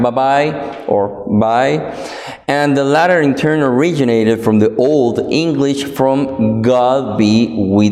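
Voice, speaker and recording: Slow Guatemalan-accented man preaching in a hall. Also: mid-range voice, darkish tone. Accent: Guatemalan Spanish. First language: Spanish. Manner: halting, many fillers and restarts. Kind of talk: sermon. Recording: echoey hall with long reverb.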